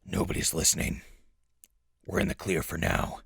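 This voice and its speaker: An actor playing a gruff, curt undercover infiltrator among raiders in a post-apocalyptic audio drama, with a rough voice. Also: male voice, deep voice, steady tone, normal speed, fairly bright tone, no room sound, clean studio sound, full character voice. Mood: stern, scornful. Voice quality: gravelly, rough. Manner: curt, bossy.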